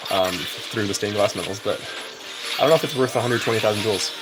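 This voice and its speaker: Young male streamer, lowish voice, easygoing and rambling, heavy on filler words. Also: quick pace, loud music behind the voice, low-quality recording. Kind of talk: casual live-stream chat while gaming.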